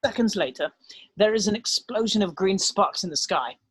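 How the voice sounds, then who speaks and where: A man's voice: high-pitched, lively intonation, normal speed, a bit bright, breathy edge, no echo. A man narrating scripted stage directions at an amateur drama table read.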